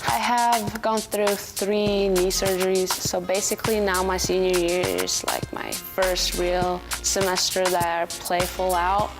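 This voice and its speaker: A woman's voice: fairly low pitch, measured pace, few pauses. A woman, talking casually as a student athlete in a profile interview. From Sweden. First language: Swedish. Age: 20s. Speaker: college soccer goalkeeper. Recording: clear music under the voice. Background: background music.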